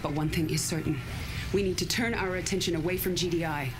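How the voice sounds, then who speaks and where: A woman voicing a controlled, ambitious military leader from a war game, lowish voice, formal and authoritative. Clear noise runs under the audio. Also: fairly even intonation, fast talker, natural voice. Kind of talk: game cutscene acting.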